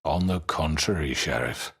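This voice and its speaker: An actor playing a suave, menacing crime boss in a dramatic video game, husky and falsely courteous, with formal, unctuous phrasing. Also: male voice, a bass voice, fairly bright tone, heavily acted. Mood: smooth, placating. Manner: unctuous, formal, measured politeness.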